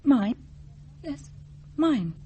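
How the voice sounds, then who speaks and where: A woman's voice: high-pitched, sing-song, very slow, dark tone, a little hiss or hum, exaggerated voice. An actress playing an evasive, self-doubting young woman in a radio drama, hesitant and brittle, with an English accent. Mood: guarded. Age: young adult.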